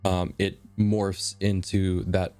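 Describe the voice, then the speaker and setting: A man improvising casually as a player in a tabletop game, halting as he thinks aloud. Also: low voice, level tone, mellow, soft background music. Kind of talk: casual improvised role-play.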